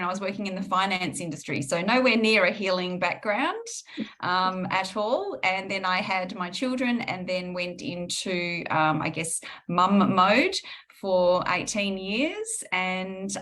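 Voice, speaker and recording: A woman's voice: mid-range voice, fairly bright tone. A middle-aged woman with an Australian accent, answering interview questions in a reflective way with frequent ums. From Perth, Western Australia. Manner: reflective, with many ums and restarts. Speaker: guest healing practitioner.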